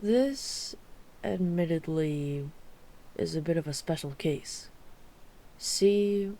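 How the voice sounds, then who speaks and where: A woman's voice: deep voice, takes it slowly, mellow. A woman playing a wry, chatty, flirtatious mafia boss with an apologetic streak in an ASMR roleplay. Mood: wry, explaining. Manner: wry, exasperated, chatty, teasing. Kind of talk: ASMR roleplay audio drama.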